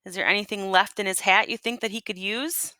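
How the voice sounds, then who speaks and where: A woman teacher reading a storybook aloud to children and pausing to ask them questions. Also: mid-range voice, fairly even intonation, bright, forceful tone, no room sound.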